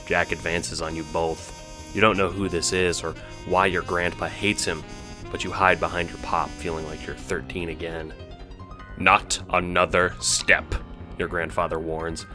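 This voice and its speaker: A man reads dramatic audio drama narration in a very low register. His delivery is bright and forceful, telling a scripted story over soft background music. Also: level tone, normal speed.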